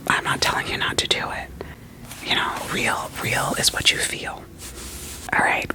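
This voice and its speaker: A person whispering an ASMR roleplay as a doting, quirky therapist, soothing and reassuring with gentle questions. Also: poor audio. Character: doting, quirky therapist evaluating a vampire client.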